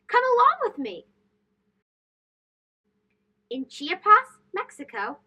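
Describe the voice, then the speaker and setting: Lady doing a kids read-aloud, high bright voice, really expressive and sing-song. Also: poor audio. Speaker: children's read-aloud host. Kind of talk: animated storybook read-aloud for young children.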